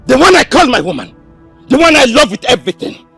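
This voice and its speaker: An actor playing a betrayed, furious young man who shouts accusations at his friend. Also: extremely high pitch, sing-song, fast talker, vocal fry, slightly rough, faint music behind, exaggerated voice. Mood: heartbroken.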